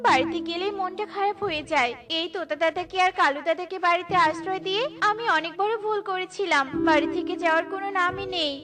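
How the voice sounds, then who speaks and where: A woman voicing a kind, hardworking but easily exasperated female cartoon bird, expressive and plaintive. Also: extremely high pitch. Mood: musing. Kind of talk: children's cartoon voice acting.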